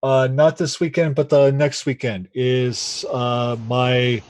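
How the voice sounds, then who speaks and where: A man player in a tabletop game stream, talking casually and haltingly. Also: mid-range voice, little pitch movement, darkish tone, dry recording, clean studio sound. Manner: halting, lots of fillers and restarts. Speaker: player and talk show streamer. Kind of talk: casual chat.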